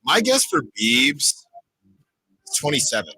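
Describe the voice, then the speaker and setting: A man's voice: medium pitch, sing-song, a little slow, sharp tone, slightly rough, dry recording, poor audio. A man, a broadcaster guesting on a radio show, bantering casually as a game contestant.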